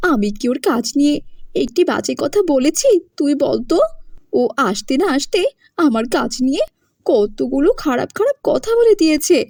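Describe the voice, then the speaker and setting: Casting: scolding, proud eldest sister for a cartoon, acted by a woman, high voice with big swings. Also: darkish tone, dry recording, clean studio sound, exaggerated voice. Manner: scolding, self-righteous. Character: bossy, grumbling eldest sister, a proud schoolteacher. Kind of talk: cartoon voice acting.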